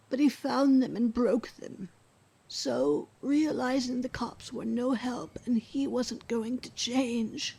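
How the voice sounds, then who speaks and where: Bitter secluded ex-wife, radio play, slow, breathy, resigned, blunt. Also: female voice, a little high, heavily acted. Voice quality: breathy, hushed. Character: weary, embittered, secluded older ex-wife. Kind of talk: scripted radio drama dialogue.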